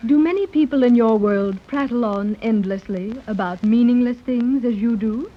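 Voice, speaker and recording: A woman's voice: fairly high pitch, close to an everyday voice. A woman playing a composed young commander in a 1930s radio serial, with formal, exact diction. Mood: cool, precise. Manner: formal, exact, measured wording. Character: cool, precise twenty-two-year-old woman commander. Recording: dull 1930s radio recording.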